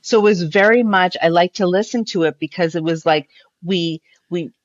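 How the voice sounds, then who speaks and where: A woman's voice: lowish voice, normal speed, no room sound. Woman podcaster chatting, slightly dull audio. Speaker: podcast co-host being interviewed. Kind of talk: casual conversational recollection.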